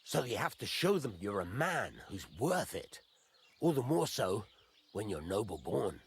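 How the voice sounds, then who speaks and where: An actor playing a gruff, fatherly middle-aged master blacksmith in a medieval video game, blunt and folksy, teasing as he talks. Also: lowish voice, big pitch swings, unhurried, exaggerated voice. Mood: teasing, advising. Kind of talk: video game dialogue acting.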